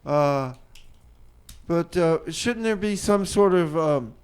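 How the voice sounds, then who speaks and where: A man's voice: a little high, lively intonation, takes it slowly, smooth. A man hosting an improvised comedy radio show, speaking in a hesitant way and often repeating himself.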